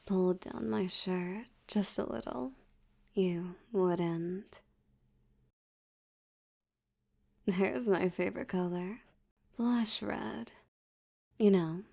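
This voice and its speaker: A woman playing a seductive, menacing mafia boss in an ASMR roleplay, teasing and often slipping into a breathy whisper. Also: medium pitch, mellow. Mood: flirtatious, teasing. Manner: teasing, slow, velvety, threatening. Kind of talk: ASMR roleplay audio drama.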